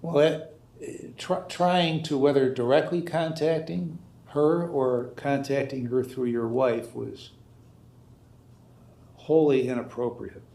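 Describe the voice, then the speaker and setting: A man with an American accent speaking as a judge, questioning and admonishing in a halting, stern way. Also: medium pitch, fairly even intonation, vocal fry, warm tone. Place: indoor courtroom. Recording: echoey room sound.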